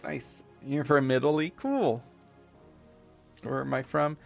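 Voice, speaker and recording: Man, native Spanish speaker, gravelly voice, casual game commentary, lots of uhs and likes, faint music behind. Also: medium pitch. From United States. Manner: halting, full of fillers and restarts. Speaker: gamer streaming a playthrough. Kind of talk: casual let's-play commentary.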